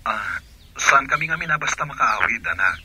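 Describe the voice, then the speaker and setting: A man's voice: mid-range voice, very animated, normal speed, pushed and bright, a little hiss or hum. A man acting a troubled, unfaithful husband and absent father in a radio drama. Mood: coaxing.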